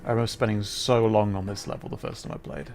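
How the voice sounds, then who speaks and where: A man's voice: on the low side, huge pitch swings, fast talker, gravelly, a little mellow, a little hiss or hum. A man casually commentating live gameplay for his chat, thinking aloud and interrupting himself as he goes. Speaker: livestreamer, host.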